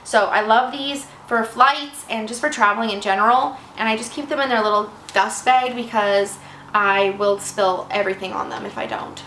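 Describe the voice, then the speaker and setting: A woman in her twenties with a slightly raspy voice from a cold, chatting casually and rambling a little. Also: a little high, moderate pace, bright, forceful tone. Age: 20s. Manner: chatty, rambling, self-deprecating. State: fighting off a cold. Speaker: vlogger. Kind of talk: casual chat. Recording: echoey room with faint noise.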